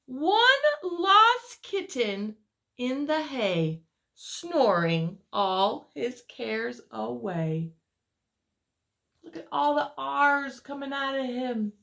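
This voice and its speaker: A high-voiced woman reads a story aloud for preschoolers. Her delivery is slow and very animated, with drawn-out, dramatic words and little asides to the children, as a teacher would give. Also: creaky.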